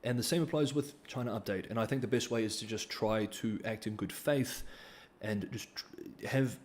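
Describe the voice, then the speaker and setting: A man's voice: on the low side, normal speed, a little mellow. A man, hosting a casual livestream Q&A and reading donor comments, hesitant, with many ums and restarts. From New Zealand. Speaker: livestream host.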